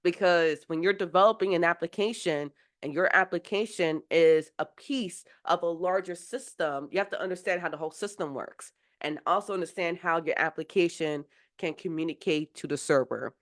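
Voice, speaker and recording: Female tech mentor, a little low-pitched, recovering from a cold, casual and rambling with frequent fillers. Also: normal speed. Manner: casual, rambling explanations with many fillers and restarts. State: getting over a cold. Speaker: software engineering mentor. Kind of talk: live-streamed mentoring session.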